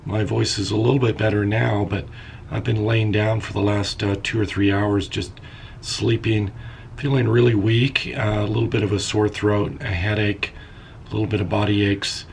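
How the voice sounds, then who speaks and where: A middle-aged man, hoarse and raspy from losing his voice, talks through his work in an unhurried way, thinking aloud with fillers. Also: on the low side, flat delivery, slight background noise. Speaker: vlogger. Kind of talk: casual vlog narration while working. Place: inside a step van.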